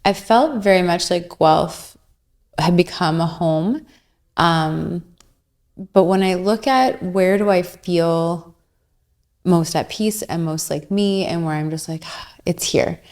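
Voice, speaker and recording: Chatty woman, low-toned. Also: slow pace, darkish tone. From Canada. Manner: chatty and upbeat, with frequent ums. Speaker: podcast guest, realtor. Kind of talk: casual podcast chat.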